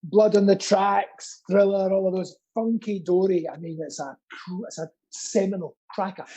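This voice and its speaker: A man's voice: high-pitched, fairly even intonation, normal speed, mellow, husky, highs cut off. A man co-hosting a quiz with casual comic banter, teasing in a dry, mock-serious way. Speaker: quiz co-host.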